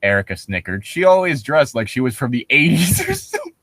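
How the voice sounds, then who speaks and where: A man's voice: medium pitch, wildly swooping pitch, fast talker, fairly bright tone, no echo. A man doing a mocking dramatic reading with sarcastic, profane ad-lib commentary and a giggly manner. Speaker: co-reader riffing on a horror story.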